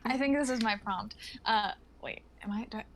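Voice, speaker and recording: A woman's voice: fairly high pitch, a little fast, fairly bright tone, a little hiss or hum. A young woman chatting casually as a panelist on a group livestream. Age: young adult.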